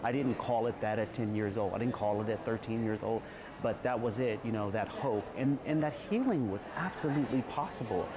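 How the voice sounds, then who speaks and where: Earnest guy in an interview, lowish voice, hiss in the background, stumbles a bit. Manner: emotional, halting restarts. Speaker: guest, trauma survivor and advocate.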